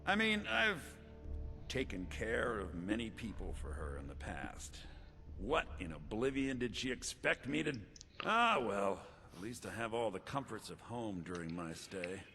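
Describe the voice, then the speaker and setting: A man's voice: on the low side, big pitch swings, a little slow, creaky, bright, forceful tone, soft background music, full character voice. An actor playing a spoiled, lecherous young rich man, a glib and entitled schemer sitting in jail, in a fantasy video game. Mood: brooding, vengeful. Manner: glib, entitled, self-pitying.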